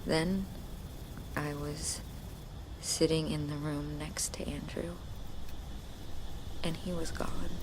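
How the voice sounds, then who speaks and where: A woman's voice: deep voice, level tone, slow pace, long pauses, background noise. An actress in an audio drama playing a haunted, zealous young woman on a mission, intense and solemn. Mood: quiet grim resolve. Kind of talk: audio drama acting, confessional monologue.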